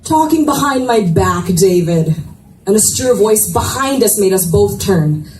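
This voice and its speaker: A woman reading romance fiction aloud as expressive first-person narration, with dramatic pauses, at a live event. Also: mid-range voice. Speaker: reader of a romance novel. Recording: echoey room, distant mic, noisy ambience.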